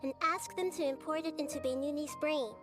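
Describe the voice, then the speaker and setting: A woman voicing a small, wise young girl deity in a video game, polite, composed and measured. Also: high-pitched, close to an everyday voice. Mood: calm, firm. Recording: music behind.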